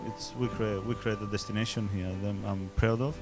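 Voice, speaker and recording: A man speaking English with an Italian accent, a chef who talks haltingly and reaches for his words. His voice sits low, with music playing clearly in the room behind him. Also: fairly even intonation, darkish tone. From southern Italy. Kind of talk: casual interview.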